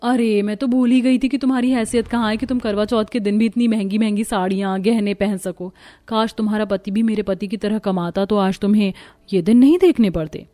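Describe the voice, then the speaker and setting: A woman's voice: medium pitch, steady tone, brisk, dark tone, close to an everyday voice. A woman voicing a haughty, spiteful rich young daughter-in-law in a cartoon story, taunting and condescending.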